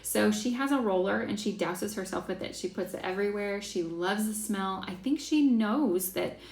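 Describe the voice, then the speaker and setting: A woman's voice: mid-range voice, moderate pace, a little mellow. A young woman hosting a casual class, talking in a chatty, enthusiastic way with lots of fillers. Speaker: essential oils class host. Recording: echoey room, faint background hiss.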